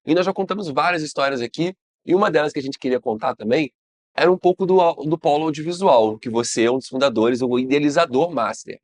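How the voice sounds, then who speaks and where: A man's voice: fairly high pitch, fast, dry recording. Guy host doing an interview, casual friendly questions, dry room. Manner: friendly, brief backchannels. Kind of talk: conversational interview.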